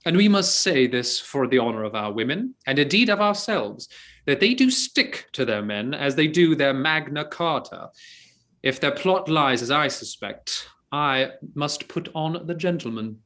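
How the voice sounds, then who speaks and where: A man playing a witty, scheming gentleman. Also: fairly high pitch, big pitch swings, measured pace. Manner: arch, theatrical reading. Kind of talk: stage-play reading.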